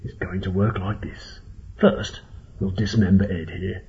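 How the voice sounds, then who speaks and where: A low, gravelly giant possum warlord for an audiobook, acted out by a man. He is gruff and snarling, delivering terse threats with cold menace. The sound is narrow, like a phone line. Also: steady tone, measured pace, warm tone. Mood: cold threatening. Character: giant eye-patched male possum, gruff menacing rebel leader. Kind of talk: audiobook character acting.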